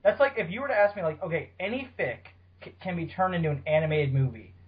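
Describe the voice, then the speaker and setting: A man with a slightly high voice hosts a casual podcast. He is talkative and explains things informally. The recording is dull and lo-fi. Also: lively intonation, fast. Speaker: podcast host.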